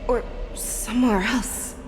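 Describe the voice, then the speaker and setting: An actress playing a resolute, weary female general in a fantasy video game, speaking in a grave, measured, dramatic way. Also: a little high, fairly even intonation, background noise.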